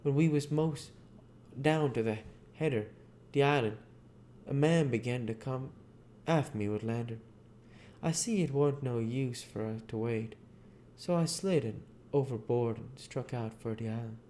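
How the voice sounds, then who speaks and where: A man's voice: medium pitch, unhurried, dark tone. A man reading as a storybook narrator and host, folksy, with occasional stumbles. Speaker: reader and channel host. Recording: audible background noise.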